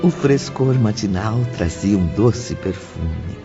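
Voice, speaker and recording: Male audiobook narrator, lyrical and measured, lively intonation, muffled lo-fi sound. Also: on the low side, gravelly. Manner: lyrical, deliberate storytelling. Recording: dull lo-fi audio with background music.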